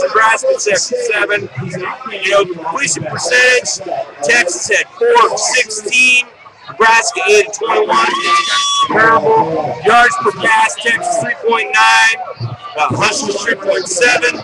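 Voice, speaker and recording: High-pitched man, casual football commentary. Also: animated, a little slow, shouting, over loud music, over a noisy crowd, low-quality recording. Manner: blunt, colloquial, swearing fan. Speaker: sports show host. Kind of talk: casual post-game sports commentary.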